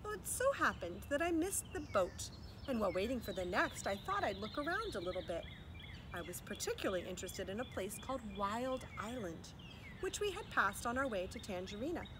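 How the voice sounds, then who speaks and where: A woman's voice: fairly high pitch, very animated, fairly quick, noisy background. A woman voicing a courteous, worldly old female alley cat in a children's storybook reading. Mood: reminiscing.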